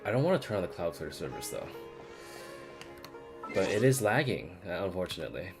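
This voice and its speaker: A man's voice: sits low, sing-song, brisk, creaky, music in the background. A man doing casual live-stream gaming commentary, muttering and thinking aloud to himself. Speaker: game streamer.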